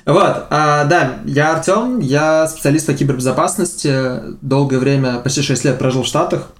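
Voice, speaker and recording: Higher-voiced young man, casual chat. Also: normal speed. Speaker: podcast co-host, cybersecurity specialist. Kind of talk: casual group podcast chat.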